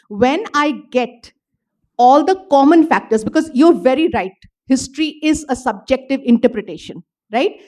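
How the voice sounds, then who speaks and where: A woman's voice: a little high, darkish tone. A woman author, earnestly explaining her writing process at a literature festival panel. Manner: explanatory, earnest, uses "you know" fillers. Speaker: author answering audience questions. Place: event hall with audience.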